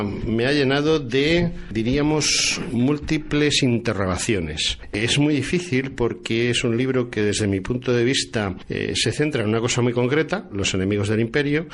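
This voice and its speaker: A man presents a radio interview in the accent of Spain. His voice sits at a middle pitch and he talks in a halting, long-winded, conversational manner. There is noticeable background noise. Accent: Spanish, from Spain.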